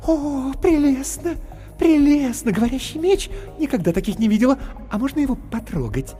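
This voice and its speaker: A man's voice: very high-pitched, expressive, fairly quick, mellow, voice pushed up. A man voicing an effusive young woman clown who chatters theatrically and showers praise. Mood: gushing. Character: gushing young woman clown, breathless and flattering. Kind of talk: audiobook character voice. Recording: reverberant with background music.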